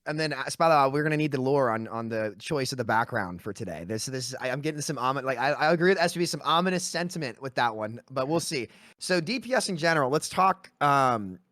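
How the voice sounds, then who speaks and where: A man's voice: medium pitch, lively intonation, fairly quick, a bit bright, dry recording, clean studio sound. A man in his late twenties speaking as a podcast panellist, giving opinions in a casual, emphatic gaming discussion. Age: late 20s.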